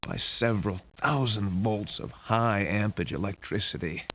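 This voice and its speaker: An actor playing a wisecracking, streetwise private investigator in a video game, with wry, casual, slangy delivery. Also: male voice, a little slow, a bit bright. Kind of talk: video game hero acting. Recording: dull, hissy low-fidelity game audio.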